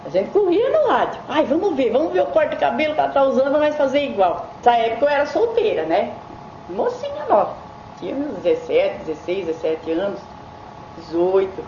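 A woman's voice: high-pitched, very animated, brisk, warm tone. An older Brazilian woman reminiscing casually and colloquially as a radio listener. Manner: colloquial, acts out remembered remarks. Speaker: radio listener giving testimony. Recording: echoey, muffled, faint background noise.

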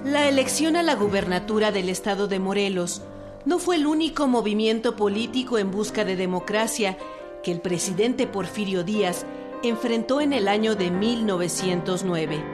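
Woman, Mexican accent, radio history narrator, scripted, medium pitch, musical backing. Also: normal speed, over loud music.

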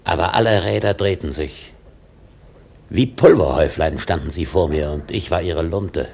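Gravelly, obsessed old sea captain. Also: male voice, low-pitched, a little mellow. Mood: grim triumph. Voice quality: gravelly, husky. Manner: brooding, declamatory, dramatic pauses. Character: obsessed, domineering old whaling ship captain. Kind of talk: German radio drama acting.

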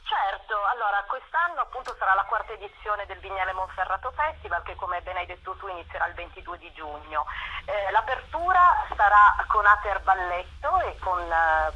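Female, bright voice, wordy explanatory interview guest, light noise on phone line. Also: fairly low pitch. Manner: explanatory, wordy, repeats filler phrases. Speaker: festival representative, interview guest. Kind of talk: promotional radio interview, running through a programme.

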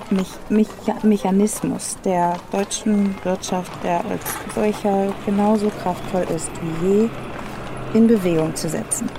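A young woman playing a cocky, playful thief in a German radio drama, easygoing in her talk. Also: mid-range voice.

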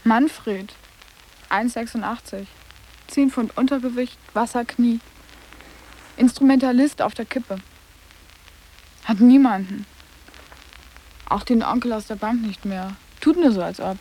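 A young woman playing a guarded, sarcastic teenage schoolgirl in a radio drama, answering in clipped, deadpan phrases. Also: a little high, a little slow, pauses often, poor audio. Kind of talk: literary radio drama acting.

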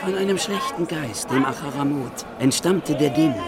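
A man acts a solemn ancient mystic in a radio play. He pronounces doctrine portentously in a fairly high voice amid loud crowd noise. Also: moderate pace, natural voice. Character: solemn gnostic Valentin teaching of a mad creator god. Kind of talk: German radio drama acting. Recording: noisy outdoor-sounding crowd behind.

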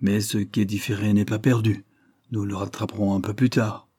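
A man's voice: lowish voice, level tone, normal speed, warm tone, slightly rough, no room sound, clean studio sound. A man voicing a ferocious revolutionary official in an audiobook, ironic, contemptuous and declamatory. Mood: menacing joviality.

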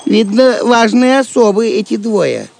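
High, creaky-voiced cheeky jester. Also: male voice, sing-song, measured pace, darkish tone, full character voice. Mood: curious. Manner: mock-grand, playful. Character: Wamba, cheeky male jester, playfully pompous. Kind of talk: audio drama acting.

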